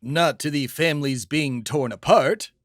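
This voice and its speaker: A man's voice: on the high side, a little slow, no room sound, clean studio sound. A young man hosting a scripted comedy podcast, speaking in a pompous, grandiose and theatrical manner. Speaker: podcast host.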